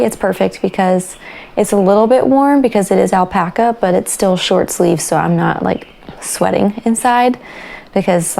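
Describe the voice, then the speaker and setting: A young woman hosting a knitting podcast, chatting casually and relaxed, her voice raspy after a night of coughing. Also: medium pitch. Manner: chatty and relaxed, with fillers and small laughs. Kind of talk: casual chat about crafts.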